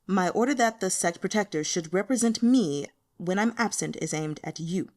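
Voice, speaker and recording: A woman's voice: mid-range voice, moderate pace, darkish tone, natural voice. A woman voicing a commanding, protective male cultivation lord in an audiobook, speaking formally and with self-assurance. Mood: calm, commanding.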